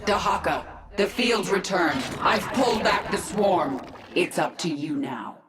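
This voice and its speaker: Brief: cold, vengeful alien-swarm commander, low register, terse game dialogue with echo on it. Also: female voice, animated, a little slow, background noise. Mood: commanding. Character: stern, vengeful female swarm queen and commander. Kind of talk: video game character acting. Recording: echoing voice under loud music.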